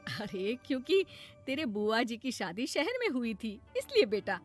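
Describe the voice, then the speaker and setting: An indulgent village mother, acted by a woman in a moral-story cartoon. She sounds soothing and unhurried, pitched lower than the performer's usual girlish voice, with soft music underneath. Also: big pitch swings. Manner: soothing, explaining. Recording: music behind the voice.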